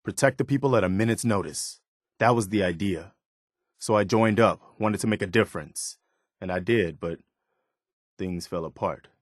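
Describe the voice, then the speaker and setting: Casting: male actor as weary, earnest male militia leader for a video game; lowish voice, close dry sound, sincere and plain-spoken. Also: moderate pace, dry recording, lightly acted. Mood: weary, somber. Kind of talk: video game dialogue acting.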